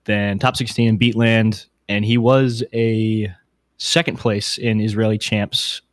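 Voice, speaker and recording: A man with a slightly low voice co-hosts a casual podcast discussion. The sound is very dry, with hardly any room on it, and he talks in an informal, conversational way. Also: level tone, measured pace, no echo. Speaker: podcast co-host.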